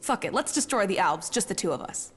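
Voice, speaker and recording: A woman's voice: mid-range voice, big pitch swings, a little fast, natural voice. Brash mercenary woman for a game actress; offhand, cynical, challenge-hungry, voice ringing in a long reverb. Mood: cocky, blunt. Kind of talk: video game character dialogue. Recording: long reverb, music underneath.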